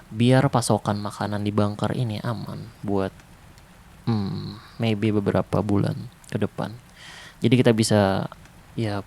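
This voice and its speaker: A man voicing a protective ex-special-forces survivor in a zombie apocalypse, talking softly and calmly in an ASMR roleplay. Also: lowish voice, vocal fry. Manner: soft, calm, unhurried, intimate. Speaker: roleplay voice actor.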